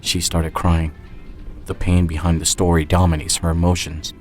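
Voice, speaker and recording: A man's voice: low voice, little pitch movement, moderate pace. A man reading a scripted first-person dramatic story as its author and narrator. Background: music.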